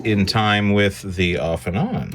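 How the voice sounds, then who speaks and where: A man thinking aloud in casual, halting, playful commentary while he works hands-on with a machine indoors. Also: lowish voice, animated. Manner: halting, muttering to himself, playful. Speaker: vlogger repairing a tape deck. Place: indoors at a repair bench. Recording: clear machine hum and whir.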